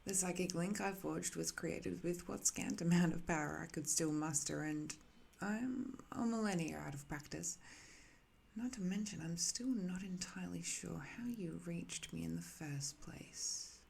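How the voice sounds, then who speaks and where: A woman playing an ancient imprisoned villainess in an intimate ASMR roleplay, haughty yet infatuated, speaking softly with condescending teasing and tender, hesitant pauses. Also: on the low side, creaky, husky, heavily acted. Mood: relieved, musing.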